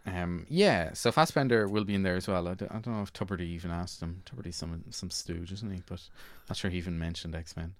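A man's voice: low voice, brisk, few pauses, no room sound. A man with an Irish accent hosting a film discussion in a casual, conversational, hedging way. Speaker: podcast host.

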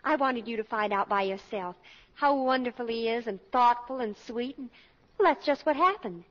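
High-pitched eager teenage girl. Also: animated, normal speed, fairly bright tone. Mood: dreamy, earnest. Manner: earnest, girlish, chatty. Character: eager, lovestruck seventeen-year-old college daughter. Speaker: actress in a radio drama. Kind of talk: 1940s radio acting. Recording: dull vintage radio recording.

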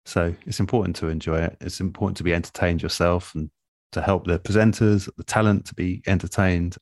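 A man speaking as an interviewed radio producer in a relaxed, reflective way, thoughtful and measured, often pausing mid-phrase. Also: sits low, no room sound, clean studio sound.

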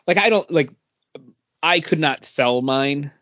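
A man's voice: on the high side, unhurried, fairly bright tone. A man in his thirties, co-hosting a podcast in casual chat, rambling with lots of fillers and restarts. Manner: rambling, filler-heavy storytelling. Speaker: podcast co-host. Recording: dull lo-fi sound.

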